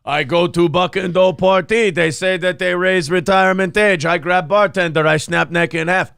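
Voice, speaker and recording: A man plays an angry protester with a mock French Canadian accent. The threats come in menacing broken English, in a creaky, dry-sounding voice for a comedy show. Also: high voice, fairly even intonation, moderate pace, a bit bright, dry recording. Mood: mock menace.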